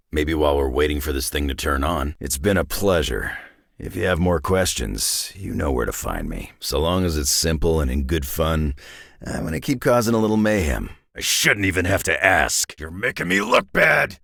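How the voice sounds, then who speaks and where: A man playing a gruff, world-weary, sardonic middle-aged superhero brawler in a video game, with a raspy growl and a blunt, wry drawl. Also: very deep voice, very animated, normal speed, a bit bright, dry recording, studio quality, exaggerated voice. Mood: tough, combative. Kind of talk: video game character voice lines.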